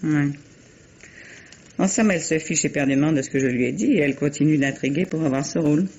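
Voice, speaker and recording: A woman's voice: sits low, a little fast, a little mellow. An actress playing a self-assured, teasing lady novelist in a 1950s French radio drama, with formal, theatrical diction. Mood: imperious. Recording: dull, muffled old radio recording.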